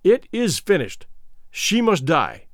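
A man's voice: high-pitched, wildly swooping pitch, takes it slowly, no room sound, studio quality, exaggerated voice. Man reading a grim, commanding king. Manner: grave, commanding.

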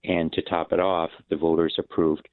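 A man political commentator, giving steady, assertive analysis on a radio panel. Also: low voice, normal speed. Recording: phone-line guest, dry.

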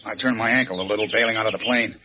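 An actor playing a commanding American crime-fighting hero in an old radio serial, brisk, authoritative and dryly wry. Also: male voice, on the low side, fairly even intonation, natural voice. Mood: urgent. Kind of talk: 1940s radio serial acting. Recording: noisy, muffled old radio recording.

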